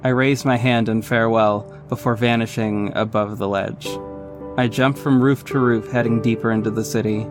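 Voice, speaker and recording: A man's voice: mid-range voice, fairly even intonation, normal speed, lightly acted. Man acting a young vigilante superhero over music. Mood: earnest narrating. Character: young male vigilante superhero, cocky, caring, teasing. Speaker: lead actor and narrator of an audio drama. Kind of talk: scripted first-person narration and acted dialogue. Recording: music behind the voice.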